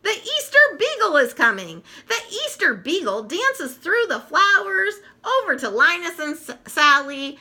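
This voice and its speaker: An expressive woman narrates a children's storybook. Her voice is very bright and forward, swinging widely in pitch. She takes her time, telling it at a slow, deliberate pace. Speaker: storybook reader.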